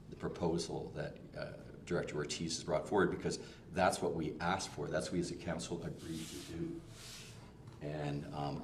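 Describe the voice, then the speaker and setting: A man councillor speaks with an extremely deep, flat voice, pausing deliberately between phrases. He sounds distant from the mic in an echoey room with a low rumble behind him. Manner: deliberate, hesitant fillers. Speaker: town councillor. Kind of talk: council meeting debate.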